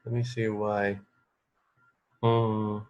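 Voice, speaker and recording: Low-pitched man, halting casual talk. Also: little pitch movement, darkish tone, highs cut off. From England. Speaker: radio co-host. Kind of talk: casual chat about fun facts.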